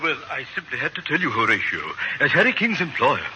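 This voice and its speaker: An actor playing a prissy, gossiping small-town busybody in old radio comedy, sputtering and scandalized. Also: male voice, on the high side, very animated, quick pace, sharp tone, faint music behind, full character voice. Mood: scandalized relish. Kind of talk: 1940s radio comedy acting. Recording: slightly noisy old radio broadcast.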